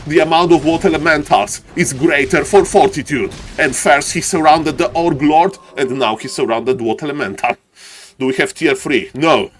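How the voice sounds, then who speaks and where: High-voiced Polish-accented man casting a game. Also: normal speed, a little hiss or hum. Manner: excitable, repeats phrases for emphasis. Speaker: esports caster and live streamer. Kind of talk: live strategy game commentary with chat banter.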